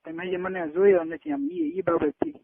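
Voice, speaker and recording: A young man with a Gujarati accent chats on a tinny phone line. His voice is high and creaky, and he keeps pressing and coaxing in an informal, private way. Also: very animated, normal speed, no echo. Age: 20s. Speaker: caller. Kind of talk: casual private phone chat. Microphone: telephone line. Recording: tinny narrowband phone call recording.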